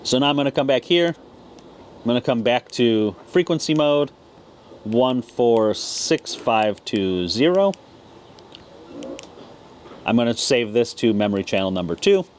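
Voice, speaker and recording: A man's voice: mid-range voice, expressive, takes it slowly, long pauses, a little mellow. A man giving a casual, plain-spoken, step-by-step product tutorial as a video host. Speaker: ham radio video host. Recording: clear background noise.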